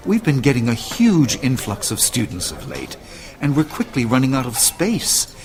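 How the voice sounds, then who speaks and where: An actor playing an earnest, courteous school leader in an audio drama, formal, measured and gravely persuasive. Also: male voice, mid-range voice, wildly swooping pitch, a little mellow. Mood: grave, urgent. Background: music.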